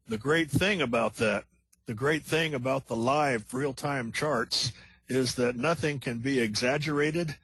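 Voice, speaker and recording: A man hosting a trading webinar, delivering an earnest, scripted sales pitch and introducing the guest. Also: a bit bright, slightly rough, no room sound, poor audio. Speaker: webinar host. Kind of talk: scripted promotional webinar talk.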